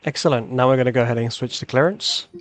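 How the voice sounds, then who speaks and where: A man's voice: mid-range voice, quick pace. A man hosting a flight simulator video, thinking aloud in casual tutorial commentary and reading back radio calls. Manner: thinks aloud while demonstrating.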